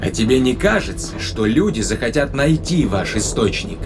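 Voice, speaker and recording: Male actor as wary human spaceman, mid-pitched, skeptical, dryly sardonic, sci-fi audio drama, strong music bed. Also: big pitch swings, normal speed, lightly acted. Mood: skeptical, insistent.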